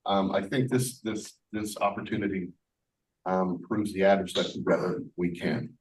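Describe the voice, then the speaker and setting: A man with a projecting voice giving an earnest, halting prepared speech as a school leader at a community event. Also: low-pitched, fairly even intonation, darkish tone. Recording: distant microphone in a room.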